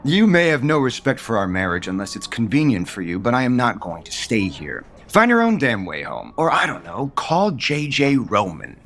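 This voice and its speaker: An actor playing a cold, embittered husband and developer in an audio soap opera, dry, haughty and sarcastic. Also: male voice, medium pitch, wildly swooping pitch, moderate pace, hardly any pauses, fairly bright tone, slight background noise. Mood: cold, bitter. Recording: prominent music bed behind.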